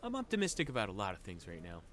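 A man playing a wry, pessimistic salesman in a fast-paced comic audio play in 1940s radio style. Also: on the low side, wildly swooping pitch, a bit bright. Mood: hopeful, eager.

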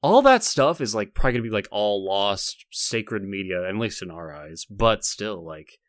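A man's voice: fairly low pitch, sing-song, normal speed, no room sound, clean studio sound. A young man co-hosting a podcast in casual chat, talking in a rambling way with lots of "like". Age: young adult. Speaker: podcast co-host.

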